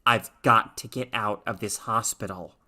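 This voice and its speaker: A woman's voice: rumbling low, fairly even intonation, moderate pace, bright, forceful tone, voice pushed down, exaggerated voice. A woman voicing a frightened, bewildered teenage girl in a story reading, urgent and questioning. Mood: anxious, urgent.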